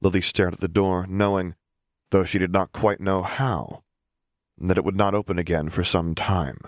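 A man reading a scripted story as an audiobook narrator, measured and dramatic. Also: deep voice, fairly even intonation, dry recording, clean studio sound.

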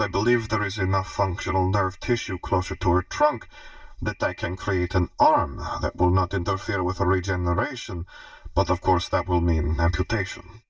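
A man's voice: sits low, no echo, far from the mic, poor audio. A man voicing a formal, coldly clinical inventor-surgeon with precise delivery in an audiobook story.

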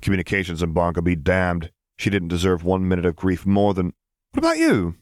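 A man's voice: low-pitched, little pitch movement, a little fast, darkish tone, no room sound, studio quality. A man reading a story aloud as a scripted audiobook narration. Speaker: audiobook reader of fan fiction.

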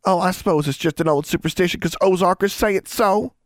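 A man's voice: a little high, animated, moderate pace, dry recording, clean studio sound, voice pushed up. A man voicing a dramatic, superstitious young country girl who talks in wailing, folksy, ungrammatical speech for a storybook. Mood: stubborn.

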